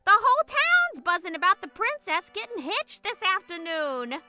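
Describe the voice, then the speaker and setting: A woman voicing an excitable, scatterbrained, cheery seagull in an audio drama, squawking her exclamations. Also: very high voice, normal speed, faint music behind, exaggerated voice. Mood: excited.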